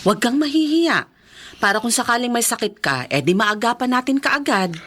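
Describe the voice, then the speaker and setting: A woman's voice: a little high, expressive, a little fast, fairly bright tone, slight background noise. A woman playing a caring, encouraging benefactor in a radio drama, speaking with motherly concern. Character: caring, encouraging female benefactor, addressed as ma'am. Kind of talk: Filipino podcast radio drama acting.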